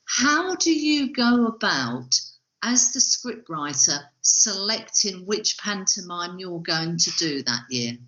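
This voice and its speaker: A woman's voice: lowish voice, big pitch swings, slow, pushed and bright. A woman hosting a podcast in a conversational interview style, asking questions and introducing topics. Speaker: podcast host. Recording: dull, lo-fi audio.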